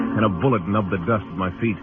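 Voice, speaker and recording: An American actor playing a tough, laconic Old West marshal, narrating and speaking tersely in an old radio drama. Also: male voice, fairly low pitch. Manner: terse, dry. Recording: tinny old radio recording with music.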